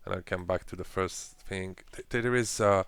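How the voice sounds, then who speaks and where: A man presenting a casual software tutorial with phrasing and frequent fillers, explaining each step while clicking through an editor. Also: sits low, steady tone, moderate pace, clean tone, no echo. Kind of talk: screen-recorded software walkthrough.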